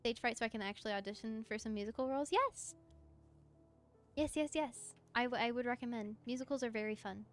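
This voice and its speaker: A woman chatting casually on a livestream as she plays, answering viewers in an expressive, encouraging, effusive way with a mezzo voice. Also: on the high side, fairly quick. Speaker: VTuber live streamer. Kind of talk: casual livestream chat while gaming, answering viewer comments.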